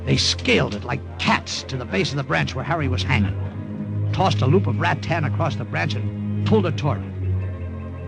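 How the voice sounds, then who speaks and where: Gravelly, animated American airman in radio drama. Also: medium pitch. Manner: dramatic, slangy, halting under strain. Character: excitable, wisecracking American airman telling his war story. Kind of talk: 1940s-50s radio drama narration and acting. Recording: old-time radio recording with music.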